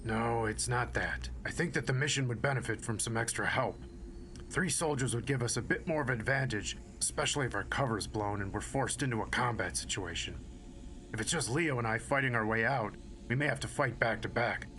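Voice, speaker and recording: A man's voice: medium pitch, fairly quick, sharp tone, lightly acted. Man playing an earnest soldier, faint noise behind. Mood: earnest, reasoning. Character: earnest, tactically minded male soldier, respectful and cautious. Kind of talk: scripted audio drama acting.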